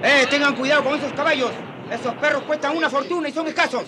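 An actor in a radio drama playing a wary Spanish conquistador captain who barks forceful orders, with busy crowd sound effects behind. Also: male voice, extremely high pitch, fast. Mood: wary commanding.

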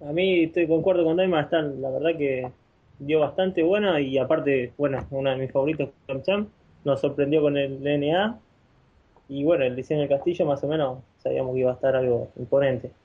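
A man from Argentina, speaking slowly and haltingly as a podcast guest. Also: a little high, breathy edge. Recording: tinny phone-like call audio.

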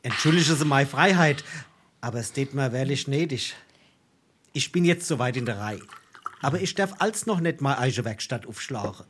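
A man's voice: mid-range voice, animated, normal speed, a bit bright, slight background noise, natural voice. A male actor with a Hessian accent playing a naive, earnest and deferential craftsman in a dialect stage comedy. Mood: pleading. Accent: Hessian, Darmstadt area. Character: naive, earnest journeyman turner, gullible and deferential.

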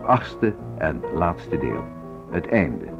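A man's voice: low-pitched, very animated, drawn-out words, creaky. A man reading a scripted title announcement for a radio drama series. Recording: dull recording with loud piano music.

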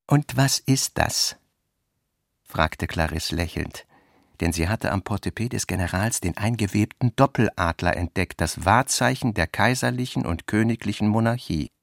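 A man narrating literary prose and its dialogue in a measured, articulate way. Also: fairly low pitch, expressive, gravelly, no echo, studio quality.

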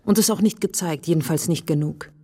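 Policewoman, mellow dark voice, earnest, probing, deliberate and intimate, radio drama. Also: deep voice, breathy edge. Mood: earnest, insistent. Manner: deliberate, intimate, insistent questioning. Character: earnest, probing policewoman challenging a wary man. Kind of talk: German radio drama acting.

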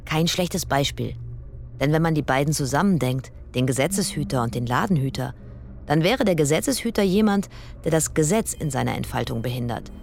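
A woman's voice: deep voice, expressive, brisk, vocal fry, a little mellow, a little hiss or hum. A woman playing a precise, probing debater in a scripted philosophical radio-play dialogue.

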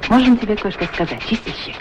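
Woman voicing pert chatty lady's boots, very lively pitch. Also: quick pace, natural voice. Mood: advising. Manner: pert, demanding, teasing, questioning. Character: pair of talking lady's boots, female, chatty, haughty, curious. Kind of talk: 1960s radio play acting. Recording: muffled old radio recording, loud background noise.

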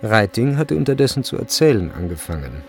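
Guy narrating a literary radio play, lowish creaky voice, measured, bit of music behind. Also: big pitch swings. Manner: measured, deliberate.

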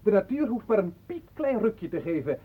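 A peculiar sidekick in an absurdist radio play, dryly poetic and cryptic. The voice is high for a man and husky, on a muffled old broadcast recording. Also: sing-song, moderate pace, heavily acted. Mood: sententious. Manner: cryptic, sententious pronouncements.

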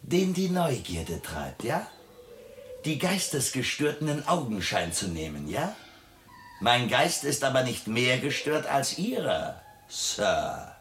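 A man's voice: medium pitch, big pitch swings, slow pace, a bit bright, a little hiss or hum. An actor playing a haughty, erratic asylum patient in a German radio drama, pompous and mocking, switching abruptly from courtesy to insult. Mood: sly, wary. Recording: music behind the voice.

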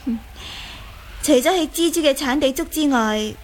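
A woman's voice: high-pitched, normal speed, lightly acted. An actress playing a bitter, defiant young martial arts woman in a Cantonese radio drama. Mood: matter-of-fact. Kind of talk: Cantonese audio drama acting. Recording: faint background noise.

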